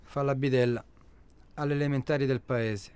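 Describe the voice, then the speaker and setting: Male actor as terse practical family man, medium pitch, guarded, touchy, matter-of-fact, naturalistic radio play delivery. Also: steady tone, normal speed, a little mellow, smooth, close to an everyday voice. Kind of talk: naturalistic radio drama dialogue.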